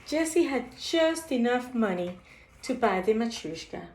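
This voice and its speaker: A woman's voice: on the high side, animated, measured pace, noisy background. A woman reading a children's bedtime story aloud as a storyteller, expressively, as if for young listeners. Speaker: storyteller reading a picture book. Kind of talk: read-aloud bedtime story with short asides on the pictures. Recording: echoey, roomy sound.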